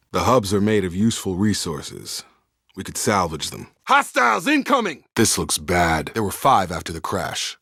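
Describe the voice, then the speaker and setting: An actor playing a loyal, earnest young space soldier in a video game, with a straight-talking military manner. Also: male voice, deep voice, fairly bright tone, breathy edge, no room sound, clean studio sound, exaggerated voice. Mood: urgent combat barking. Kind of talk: video game character dialogue.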